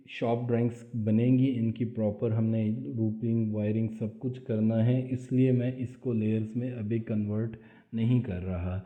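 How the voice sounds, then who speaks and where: A man, explaining drawing steps aloud as he works through a screen-recorded CAD tutorial. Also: lowish voice. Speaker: CAD drafting instructor. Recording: tinny phone-like audio.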